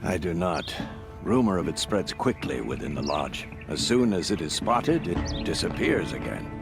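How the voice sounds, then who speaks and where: A dignified older hunter with a low, weighty voice, guarded and formal in a dramatic fantasy game style. Loud music runs behind him. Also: male voice, sing-song, moderate pace, vocal fry, exaggerated voice. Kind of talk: dramatic video game acting.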